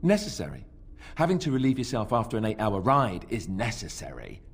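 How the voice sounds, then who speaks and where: An actor playing a sardonic young warrior companion in a video game, speaking in a dry, mocking way. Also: male voice, on the low side, wildly swooping pitch, brisk, husky, exaggerated voice.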